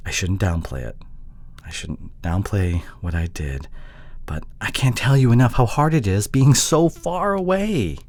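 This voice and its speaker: A man playing an apologetic, insecure boyfriend in an intimate audio drama, speaking haltingly with sighs and breathy murmurs. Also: lowish voice, lively intonation, darkish tone. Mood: apologetic, earnest. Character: apologetic, pleading long-distance boyfriend, insecure young man. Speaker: audio roleplay voice actor.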